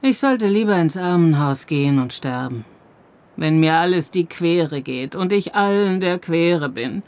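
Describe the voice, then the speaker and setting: An actress playing a plaintive, self-pitying lonely widow who whines and laments, in a radio play. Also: female voice, fairly low pitch, lively intonation, a little slow, darkish tone. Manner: whining, lamenting.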